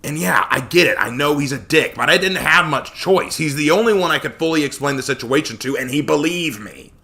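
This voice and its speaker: A man's voice: on the high side, animated, a little fast, vocal fry, sharp tone, pitched above the performer's own voice, exaggerated voice. A man voicing a weary, conciliatory man who sighs and groans through a storybook reading. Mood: weary, defensive. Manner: sighing, groaning, placating. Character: weary, conciliatory man defending his choices to friends.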